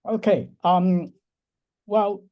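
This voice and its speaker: A man's voice: high-pitched, fairly even intonation, extremely slow, muffled recording. A man in his sixties from Hong Kong, a professor answering interview questions in a thoughtful, explaining way. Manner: thoughtful, explanatory, frequent restarts and soft laughs. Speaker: university professor, interview guest.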